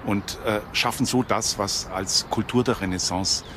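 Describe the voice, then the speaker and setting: A man's voice: lowish voice, steady tone, measured pace, noisy background. A man explaining as an expert in a documentary interview.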